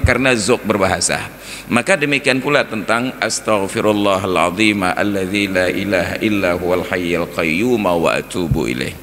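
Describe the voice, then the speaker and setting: Man, Acehnese accent, lowish pitch, informal religious talk, conversational, rhetorical questions, long hall reverb. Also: a bit bright. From Aceh, Indonesia. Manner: conversational, rhetorical questions, examples mixed with local dialect. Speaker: Islamic teacher giving a mosque study talk. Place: large reverberant mosque interior. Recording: echoey hall with background noise.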